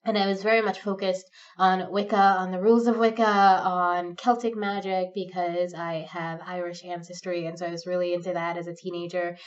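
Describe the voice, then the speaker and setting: A rambling woman in her thirties talks off the cuff, stopping on ums and likes as her thoughts wander. Her lowish voice sounds stuffed up from a cold. The recording is muffled and lo-fi, with the mic set at a distance. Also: level tone, moderate pace, hardly any pauses. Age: 30s. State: congested with a cold, sniffly. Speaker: pagan vlogger. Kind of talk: unscripted rambling monologue.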